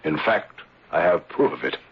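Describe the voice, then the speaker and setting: A protective, serious gentleman sleuth in a radio mystery. His voice is low and very rough-edged, his phrasing measured and formal. It comes through a muffled old broadcast. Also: big pitch swings, full character voice. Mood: probing. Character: grave, protective gentleman insurance investigator. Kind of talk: old-time radio drama acting.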